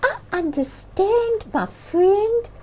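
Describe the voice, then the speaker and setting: A small, wise storybook bird, played by a woman with a high, lilting voice. She is gentle and encouraging, and her delivery is reassuring and full of movement. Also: expressive, slow pace, full character voice.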